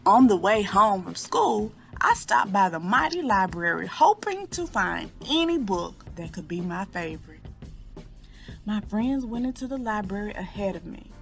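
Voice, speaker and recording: A woman reading a picture book aloud to children in an expressive storytelling style, drawing out key words. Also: mid-range voice, a little slow, creaky, faint music behind.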